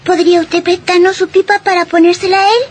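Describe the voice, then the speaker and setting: A woman's voice: very high-pitched, level tone, fast. A woman playing a polite, eager young boy in an old radio drama. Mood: polite, pleading.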